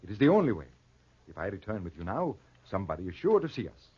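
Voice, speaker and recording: A proud, commanding patriarch with a husky voice and sweeping, theatrical intonation. The delivery is classic 1940s radio drama, trusting yet gruff, heard through a dull vintage recording. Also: male voice, on the low side, very animated, quick pace. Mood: earnest.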